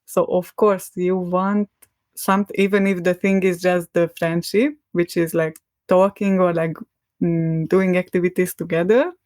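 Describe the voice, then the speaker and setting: A woman's voice: on the low side, steady tone, unhurried, darkish tone, no room sound, clean studio sound. A young woman with a Hungarian accent, a podcast guest talking in a rambling, hesitant way and laughing often between thoughts. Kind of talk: casual conversation.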